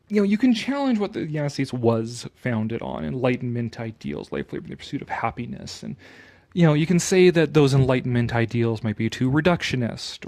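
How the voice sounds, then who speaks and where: A man's voice: mid-range voice, a little mellow. A Canadian man giving casual, opinionated commentary on an article he reads aloud, sarcastic, exasperated and given to swearing.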